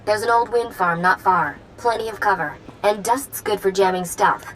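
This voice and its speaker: An actress playing an earnest, resourceful informant in a video game, speaking in short, clipped sentences. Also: female voice, medium pitch, normal speed, fairly bright tone, close to an everyday voice, rough recording. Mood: urgent warning.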